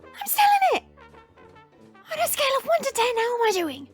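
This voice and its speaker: A woman's voice: very high-pitched, wildly swooping pitch, fairly quick, soft background music, full character voice. A woman playing a theatrical, bratty adventurer who teases and hams it up in a fantasy audio drama. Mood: playful. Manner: melodramatic, playful, mocking. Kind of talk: fantasy audio roleplay acting.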